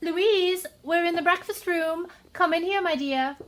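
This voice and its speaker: A woman's voice: very high-pitched, a little slow, fairly bright tone, slight background noise. A woman playing a breezy, bored wealthy society matron with a languid, teasing way, in a radio drama. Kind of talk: old-time radio drama acting.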